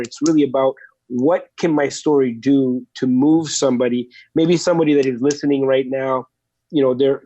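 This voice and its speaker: Man in his 40s from el salvador, earnest podcast guest, lots of uhs, flat dull sound. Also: mid-range voice, no room sound. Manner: earnest, many fillers and restarts. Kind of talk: telling his life story in a podcast interview.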